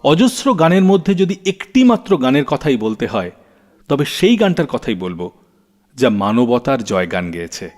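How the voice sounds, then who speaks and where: A man's voice: mid-range voice, sing-song, moderate pace, a little mellow. A man hosting a music radio show, narrating scripted stories in a storytelling style. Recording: long reverb, music underneath. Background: faint background music.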